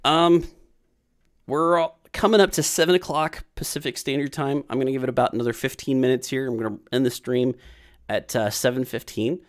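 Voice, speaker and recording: A man's voice: mid-range voice, steady tone, brisk. A man in his thirties hosting a casual programming livestream Q&A from a small room on webcam, rambling and repeating himself with lots of fillers.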